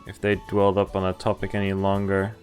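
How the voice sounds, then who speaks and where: A man's voice: low-pitched, completely flat delivery, fast talker, darkish tone. Young male streamer reading over game music. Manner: casual, sweary, amused reactions. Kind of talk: reading visual novel text aloud with casual commentary.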